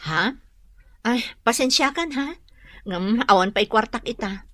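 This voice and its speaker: A high-voiced brazen woman in a radio drama, scornful and defiant. Her forceful delivery swoops widely and the sound is close and dry. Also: expressive, measured pace, no room sound, exaggerated voice. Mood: dismissive, hostile. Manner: combative, taunting.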